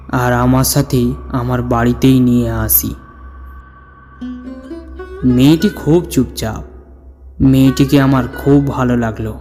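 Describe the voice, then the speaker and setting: A man playing an older storyteller who recounts his own ghostly encounter in a suspenseful, measured narration. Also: mid-range voice, darkish tone, natural voice. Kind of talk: dramatic scripted horror story narration. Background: background music.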